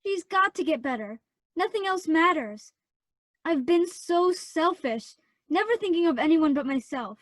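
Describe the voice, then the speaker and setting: A woman's voice: very high-pitched, lively intonation, unhurried, no echo. A woman playing a remorseful young girl in a modern radio play, pleading with childlike earnestness.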